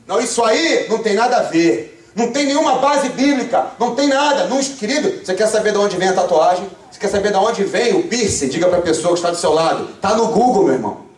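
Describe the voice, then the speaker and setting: A young Brazilian man with a Rio de Janeiro accent, preaching a fervent revival sermon with call-and-response and bursts of shouting. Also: high-pitched, lively intonation, fast, hardly any pauses. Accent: Rio de Janeiro Brazilian Portuguese. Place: church sanctuary. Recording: echoey church from far off.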